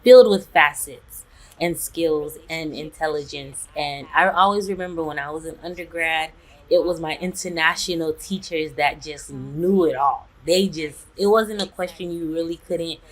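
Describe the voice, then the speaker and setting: Low-voiced enthusiastic woman host. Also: normal speed, rough recording. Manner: enthusiastic, chatty. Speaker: webinar host. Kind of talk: hosting a webinar interview.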